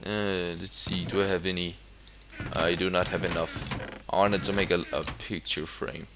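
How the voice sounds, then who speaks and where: A young Danish man with a deep, low voice talks through his game build in a casual, unscripted way. He speaks English as a second language with a clear Danish accent, stumbling over restarts and fillers and chuckling now and then. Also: steady tone, moderate pace, noisy background. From Denmark. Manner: hesitant, many fillers and restarts, chuckles often. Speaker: gamer. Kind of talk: casual gameplay commentary.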